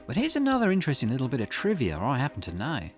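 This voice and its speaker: A man's voice: medium pitch, wildly swooping pitch, racing, faint music behind. A man voicing a shrewd, self-assured sleuth in a visual novel, explaining with teasing confidence. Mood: confidently explaining.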